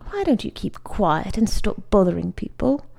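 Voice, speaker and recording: A woman's voice: mid-range voice, sing-song, normal speed, gravelly, dark tone, full character voice. A woman voicing an irritable young boy who talks in blunt, defensive retorts, in a story reading. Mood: annoyed. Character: irritable, defiant young boy, quick to anger.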